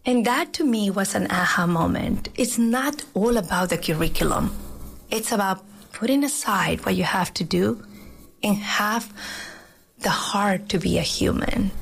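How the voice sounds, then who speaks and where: A woman's voice: mid-range voice, unhurried, vocal fry. A middle-aged woman teacher from Peru, answering interview questions in an earnest, reflective way with frequent restarts. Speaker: teacher, guest.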